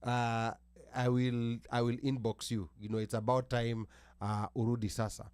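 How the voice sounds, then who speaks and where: A man with an American accent hosting a panel talk show, speaking haltingly with restarts and reading from notes. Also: mid-range voice, level tone, a little mellow, clear, resonant tone, dry recording. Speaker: host.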